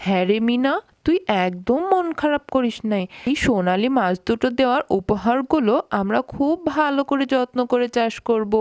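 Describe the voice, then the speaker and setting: Chatty cartoon village woman female actor, fairly high voice, dry studio sound. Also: animated, moderate pace, darkish tone, no echo, close to an everyday voice. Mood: reassuring, hopeful. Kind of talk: cartoon voice acting.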